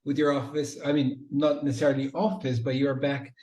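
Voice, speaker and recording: A man's voice: medium pitch, level tone, brisk, dark tone, roomy sound. A man hosting an interview, encouraging his guest with frequent murmurs of agreement and follow-up questions. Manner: encouraging, frequent backchannel "mm-hmm". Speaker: interview host. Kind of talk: casual video-call interview.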